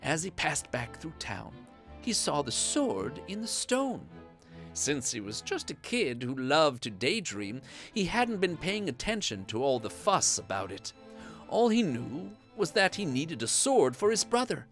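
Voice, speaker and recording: A storyteller reading a scripted children's bedtime story in an expressive, animated way. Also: medium pitch, a little slow, faint music behind.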